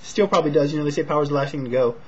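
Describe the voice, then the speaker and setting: An American man chats casually as an amateur sports commentator. His voice sits a little high and sounds husky, with a quiet, hesitant delivery that is slightly short of breath. The home recording is dull and lo-fi. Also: racing. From Sacramento, California, United States. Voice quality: husky, not loud. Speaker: amateur boxing commentator and vlogger. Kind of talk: casual talk to camera, fight preview and prediction.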